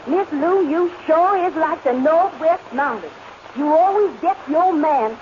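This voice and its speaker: Old, noisy and dull radio sound carries a cheeky, deferential maid. The voice is very high, with a broad, folksy in comic caricature. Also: female voice, expressive, measured pace. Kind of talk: 1930s radio comedy acting.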